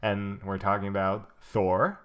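A man's voice: fairly low pitch, flat delivery, measured pace, smooth. A young man co-hosting a casual podcast, talking with many fillers and restarts. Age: young adult. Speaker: podcast co-host. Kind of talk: casual chat.

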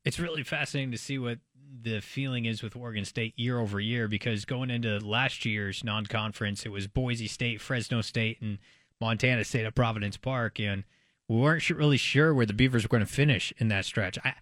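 A man with an American accent and a mid-pitched voice discusses sports as a talk host. He reasons aloud in a casual, conversational style. The recording is very dry, free of room sound. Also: steady tone, brisk, a bit bright, no room sound.

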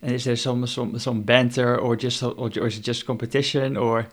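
A man asks interview questions in a relaxed, halting way, repeating words and restarting as he goes. His voice sits at an ordinary middle pitch. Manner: hesitant, repeats words while forming questions.

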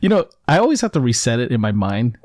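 A man with a mid-pitched voice co-hosts a casual podcast. He laughs easily and rambles as he thinks out loud. His voice sounds close and dry. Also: big pitch swings, fast, no echo.

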